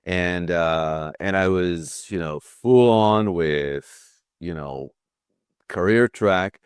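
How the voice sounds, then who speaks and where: A man in his sixties from Israel, a guest healer telling his story slowly with frequent pauses. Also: deep voice, clear, resonant tone, dry recording, clean studio sound. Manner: slow, measured, with frequent pauses and fillers. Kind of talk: interview conversation.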